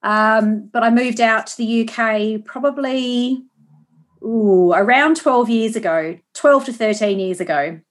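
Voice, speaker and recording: A woman from Perth with an Australian accent, a guest in a casual conversation, chatty and quick to agree. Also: fairly high pitch. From Perth, Western Australia.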